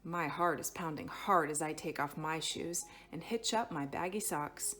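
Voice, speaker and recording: A woman reading a children's picture book aloud expressively, with dramatic phrasing that stretches some words and hushes others. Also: low-pitched, moderate pace. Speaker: storybook reader.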